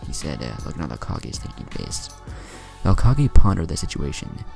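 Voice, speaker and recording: A man reads a fan fiction story aloud with expressive storytelling. His voice is very low with a gravelly rasp, and his pitch moves lively through the lines. Music plays behind him. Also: brisk. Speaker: story narrator.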